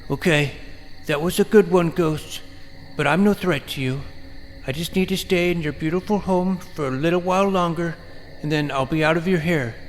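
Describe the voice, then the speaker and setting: A man's voice: on the high side, moderate pace, faint music behind, pitched above the performer's own voice, natural voice, low-quality recording. A man voicing an earnest, bossy twelve-year-old boy vampire hunter in an audio drama, with an officious manner. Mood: placating. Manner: earnest, officious, radio-operator phrasing. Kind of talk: scripted audio drama acting.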